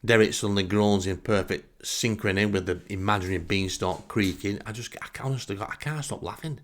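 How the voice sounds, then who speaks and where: A man's voice: fairly low pitch, fairly quick. A man with a Northern English accent telling comic first-person stories as a podcast host, with a wry, sarcastic manner. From northern England.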